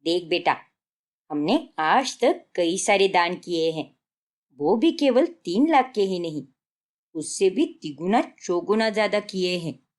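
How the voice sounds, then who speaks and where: A woman voicing an elderly grandmother in a family story, patient and principled, gently admonishing as she moralizes. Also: on the low side, big pitch swings, slow, voice pushed down.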